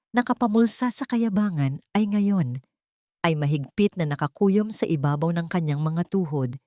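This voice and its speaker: A woman with a slightly low voice reads a dramatic story as an audio drama narrator. Her delivery is solemn and deliberate, and the recording is close and very dry. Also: dark tone, dry recording.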